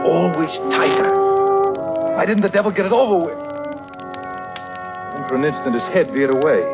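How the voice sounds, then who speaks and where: A man's voice: mid-range voice, lightly acted, poor audio. An actor playing a guilt-ridden young seaman narrating his own terror in an intense, haunted old radio drama style. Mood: rising terror. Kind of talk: 1940s-50s radio drama acting, first-person suspense narration. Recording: vintage radio recording with loud music.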